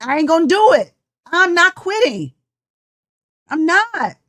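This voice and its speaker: A woman's voice: extremely high pitch, sing-song, unhurried, a bit bright. A sixty-year-old woman coach, giving a passionate, tired, preachy live talk straight to her viewers. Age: 60s. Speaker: life coach. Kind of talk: motivational live-stream talk.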